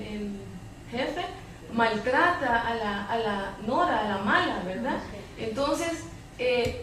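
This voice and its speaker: High-voiced woman in a very echoey room. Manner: frequent tag questions seeking agreement. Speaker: panel participant in a public debate. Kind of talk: spontaneous discussion commentary. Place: indoor public debate, roomy, picked up from afar. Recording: echoey room, distant mic, faint noise.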